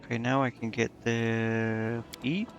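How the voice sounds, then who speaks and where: A man's voice: mid-range voice, little pitch movement, slow pace, lo-fi sound. A man streaming a game, muttering indecisively to himself in casual commentary as he thinks aloud. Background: game music.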